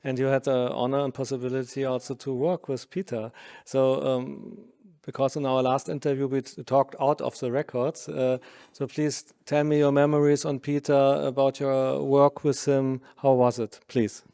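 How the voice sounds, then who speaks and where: Even-toned German-accented male interviewer. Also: mid-range voice, clear, resonant tone, no room sound, studio quality. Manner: halting, non-native phrasing. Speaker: interviewer, magazine journalist. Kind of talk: interview questions.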